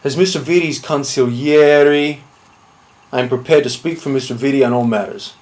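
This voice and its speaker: A man's voice: medium pitch, moderate pace, darkish tone, natural voice. A man playing a rambling, self-important mob consigliere in a blustering comic monologue. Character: loud, rambling, shady mobster consigliere with many nicknames.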